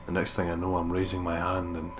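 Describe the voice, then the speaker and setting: A man playing an anguished detective, narrating his inner thoughts gravely in a visual novel game. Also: sits low, completely flat delivery, fast, over music, lightly acted. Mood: somber, tense. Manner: grave, somber. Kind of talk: dramatic first-person game narration.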